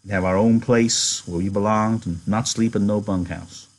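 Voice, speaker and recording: A man's voice: on the low side, steady tone, normal speed. A man voicing a ranch hand who describes a dream farm in folksy rural speech. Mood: dreamy. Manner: folksy, rural turns of phrase. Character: wiry itinerant ranch hand, dreamy but guarded.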